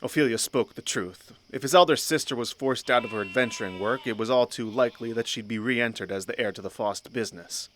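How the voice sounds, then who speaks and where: A man's voice: mid-range voice, brisk, few pauses, fairly bright tone. A man narrating a fantasy audio drama in a scripted storybook style.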